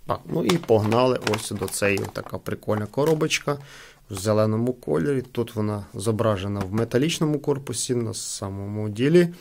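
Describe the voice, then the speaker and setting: A man's voice: on the low side, expressive, a little fast, gravelly, darkish tone, slight background noise. A man reviewing gadgets in a casual, explanatory hands-on style, often repeating himself. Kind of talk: casual hands-on product demonstration. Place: indoors at a wooden table.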